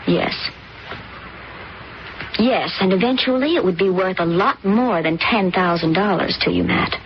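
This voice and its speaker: A woman's voice: medium pitch, measured pace, slight background noise, natural voice. An actress playing a controlling young woman who plots a murder, in an old radio drama with dull lo-fi sound. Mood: persuasive. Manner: cold, controlling, persuasive. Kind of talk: 1970s radio drama acting.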